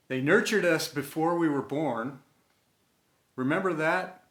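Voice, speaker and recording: A man reading a scripted inspirational tribute in an earnest, sentimental way, with deliberate dramatic pauses between short phrases. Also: mid-range voice, fairly bright tone. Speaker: storyteller and host of an inspirational series. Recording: roomy, echoey sound.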